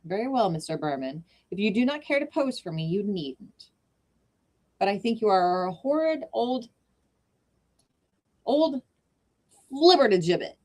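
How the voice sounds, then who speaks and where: A woman's voice: medium pitch, big pitch swings, unhurried, pauses often, darkish tone, pitched above the performer's own voice. A woman voicing a worried young woman artist, pleading and pert.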